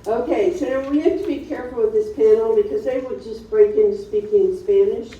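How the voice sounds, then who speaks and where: A woman's voice: on the high side, steady tone, brisk, warm tone. A woman hosting a seminar panel informally, chatty and loosely phrased as she introduces speakers. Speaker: seminar host and panel moderator. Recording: very echoey room, distant dull mic.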